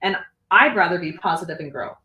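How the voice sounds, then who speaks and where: A woman's voice: lowish voice, lively intonation, a little fast, pushed and bright, lo-fi sound. Encouraging woman coach, echoey distant sound. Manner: encouraging, firm, motivational. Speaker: coach and host. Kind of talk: casual conversation. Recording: echoey room, voice off-mic.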